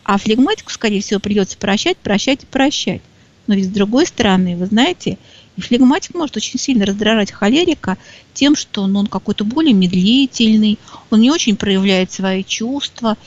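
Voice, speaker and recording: Female co-host, mid-pitched, casual and halting, slightly lo-fi dull sound. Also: lively intonation, a little mellow. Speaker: talk show co-host. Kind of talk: casual conversational discussion. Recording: dull, band-limited sound.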